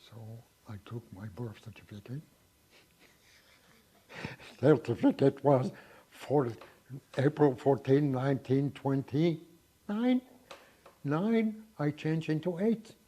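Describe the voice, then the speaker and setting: A man in his nineties giving an oral history interview, reminiscing in a halting way with frequent pauses and restarts. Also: a little high, warm tone, slight background noise. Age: 90s.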